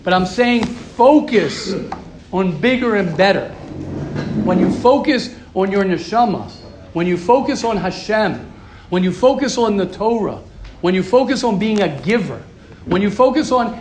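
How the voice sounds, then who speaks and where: A man's voice: high-pitched, expressive, moderate pace, darkish tone, roomy sound, slight background noise. A man teaching a Torah class to a live group, emphatic and repetitive, asking rhetorical questions. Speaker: rabbi giving a class. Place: room with a live audience.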